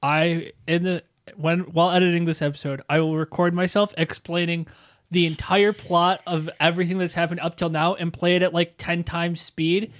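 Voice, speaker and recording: Young male player, slightly high voice, rambles with uhs and a curse here and there. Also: level tone, normal speed. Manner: chatty, hesitant fillers, swears. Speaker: player and episode editor. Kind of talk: casual podcast chat.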